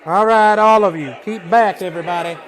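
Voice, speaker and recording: An actor playing a weary, commanding Old West marshal, terse and authoritative, in a western radio drama. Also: male voice, sits high, brisk, crowd noise, natural voice.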